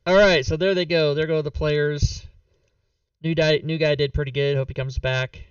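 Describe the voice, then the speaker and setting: Hoarse-edged streaming game master, slightly distant. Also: male voice, fairly high pitch, moderate pace, no echo. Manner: rambling, relaxed, lots of fillers. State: losing his voice. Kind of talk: casual end-of-stream chat. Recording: dull, slightly distant, dry mic.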